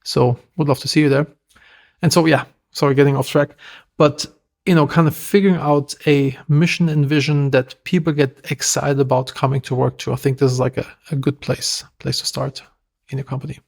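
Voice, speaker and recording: A man with a German accent, a podcast guest telling stories in a lively, self-deprecating way. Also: mid-range voice, brisk, gravelly. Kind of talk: casual interview talk.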